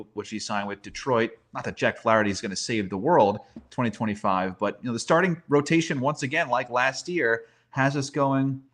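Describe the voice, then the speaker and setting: A man's voice: mid-range voice, fairly bright tone. An emphatic man with a mid-range voice talks sports as a commentator. He is opinionated and conversational, building his points with rhetorical questions.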